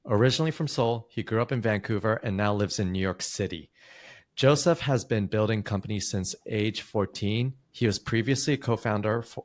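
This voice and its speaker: A man hosting a podcast, reading a scripted intro and then asking loose, friendly questions with fillers. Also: on the low side. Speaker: podcast host.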